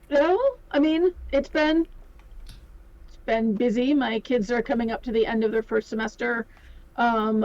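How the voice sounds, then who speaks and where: A woman in middle age talks casually as a guest on a podcast. Her voice is fairly high. The sound is a little dull and lo-fi. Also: darkish tone, no echo. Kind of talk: casual chat.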